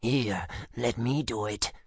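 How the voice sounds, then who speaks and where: A man voicing tiny, high-voiced fantasy creatures with a small, slightly nasal, husky sound, bickering and friendly in an audiobook. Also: slow, no room sound, studio quality. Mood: bossy, friendly.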